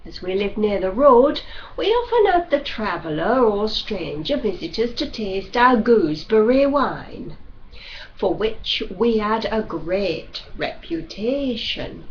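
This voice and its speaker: A woman narrating a classic novel as an audiobook reader, with a measured, expressive storytelling delivery. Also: mid-range voice. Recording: dull lo-fi recording with faint noise.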